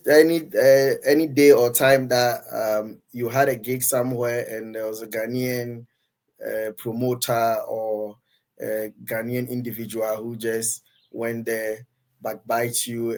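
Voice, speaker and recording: A man interviews a musician and asks his questions in a level, even voice. He nods along with short agreements while his guest talks and keeps his tone flat and steady. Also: mid-range voice, measured pace. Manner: attentive, frequent short agreements. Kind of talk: interview conversation.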